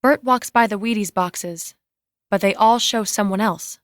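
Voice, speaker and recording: Lady reading out script stage directions, matter of fact, average pitch, dry no echo. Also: measured pace. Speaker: reader of screenplay scene directions. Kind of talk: scripted present-tense screenplay narration.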